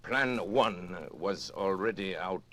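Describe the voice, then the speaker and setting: A deep, sharp-edged male voice on a noisy 1940s radio broadcast. a pompous, calculating master criminal who boasts coolly and lingers over his words. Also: heavily acted. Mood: gloating narration. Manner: pompous, deliberate, with savoured pauses. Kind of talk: 1940s radio drama acting.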